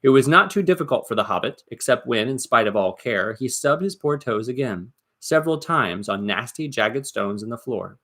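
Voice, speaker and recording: A man reading a story aloud as dramatic narration, expressive and suspenseful, with deliberate emphasis. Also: medium pitch. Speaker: storybook reader.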